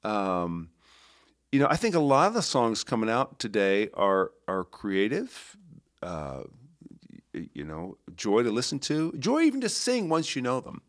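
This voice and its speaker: A man's voice: medium pitch, measured pace. A man who leads church worship, talking casually in a podcast chat, stammering a little as he thinks. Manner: animated, sings snippets and stammers when thinking. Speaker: worship leader.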